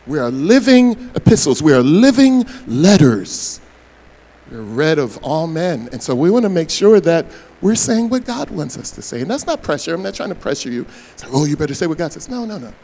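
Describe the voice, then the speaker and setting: An older man, a pastor preaching a sermon, his voice hoarse, swinging between loud bursts and soft asides. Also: a little high, poor audio.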